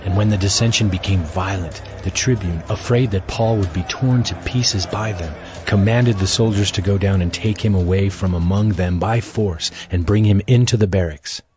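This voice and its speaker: A man's voice: low-pitched, moderate pace, few pauses, music in the background. A man narrating scripture as a scripted audio Bible reading.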